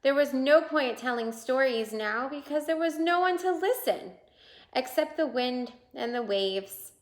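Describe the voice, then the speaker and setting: A woman with a high voice reads a children's picture book aloud. Her delivery is slow, with big swings in pitch, and she breaks off to point things out to young listeners. The room adds a noticeable echo. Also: lively intonation. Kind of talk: children's read-aloud storytelling. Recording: roomy, echoing indoor sound.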